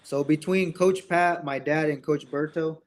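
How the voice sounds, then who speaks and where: A man's voice: on the high side, fairly even intonation, measured pace. A young man raised in Indiana with an American accent, talking casually as an interview guest and acting out bits of dialogue as he tells stories. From born in Mexico, raised in Indiana, United States.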